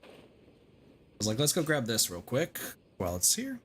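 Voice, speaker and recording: A man's voice: medium pitch, huge pitch swings, moderate pace, fairly bright tone, slight background noise. A man giving casual livestream commentary on a game, muttering to himself and swearing mildly. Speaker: game streamer.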